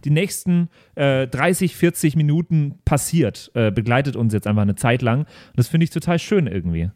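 A man's voice: mid-range voice, lively intonation, moderate pace, a little mellow, no echo. Male podcast co-host, mid-range voice, enthusiastic and conversational, emphatic repetition, dry close recording. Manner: enthusiastic, repeats words for emphasis. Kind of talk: casual podcast chat.

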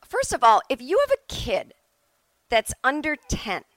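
A woman's voice: high voice, wildly swooping pitch, measured pace, fairly bright tone, no room sound. A middle-aged woman hosting a solo podcast, talking casually and bluntly, with emphatic, sweary opinions. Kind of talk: casual opinionated monologue, ranting. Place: at home.

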